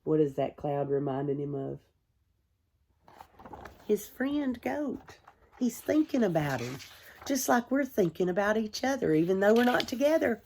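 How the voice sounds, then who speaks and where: A woman, a kindergarten teacher, reading a storybook aloud to young children in a gentle, expressive way. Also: medium pitch, gravelly, slight background noise. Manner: gentle, hushed, expressive storytelling with deliberate pauses; addresses young listeners with simple questions.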